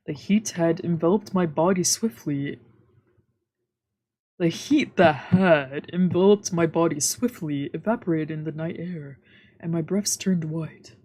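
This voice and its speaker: A woman's voice: lowish voice, mellow, breathy edge. A woman streamer reading a visual novel aloud and chatting casually as she plays. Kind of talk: read-aloud narration with casual let's-play chat. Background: faint game music.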